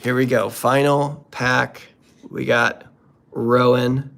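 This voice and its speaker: A man's voice: mid-range voice, flat delivery, slow pace, vocal fry, a bit bright. A man hosting a casual unboxing commentary, talking in a playful, jokey, exclamatory way. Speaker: host opening card packs.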